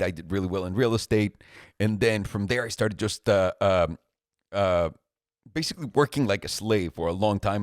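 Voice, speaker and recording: A man's voice: low voice, dry recording, studio quality. A man, co-hosting a podcast in a chatty, joking way. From Mexico. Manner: joking, talkative, laughs often. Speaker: podcast co-host. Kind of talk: casual chat.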